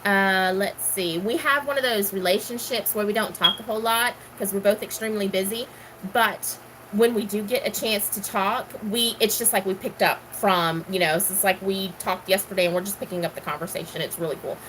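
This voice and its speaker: A woman's voice: medium pitch, fairly quick, fairly bright tone, a little hiss or hum, rough recording. A middle-aged woman from Texas, casually chatting and answering questions, rambling with many ums. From Houston, Texas. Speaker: gardening vlogger.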